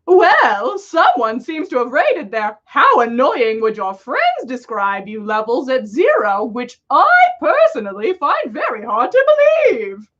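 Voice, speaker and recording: A woman voicing a vain, whiny male dark lord in a haughty, self-pitying, theatrical way for a fan fiction story. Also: on the high side, wildly swooping pitch, unhurried, hardly any pauses, dull audio, exaggerated voice. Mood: haughty, mocking.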